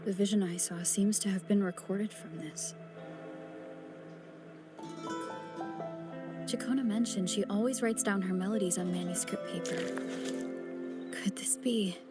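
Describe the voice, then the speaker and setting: An actress playing a thoughtful, curious female investigator in a video game, musing to herself in a soft, wondering way. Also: medium pitch, normal speed, lightly acted. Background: faint background music.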